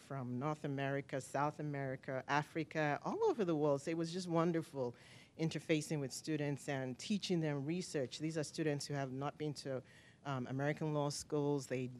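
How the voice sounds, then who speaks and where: A senior lecturer and associate dean giving an earnest short self-introduction, enthusiastic about teaching. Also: mid-range voice.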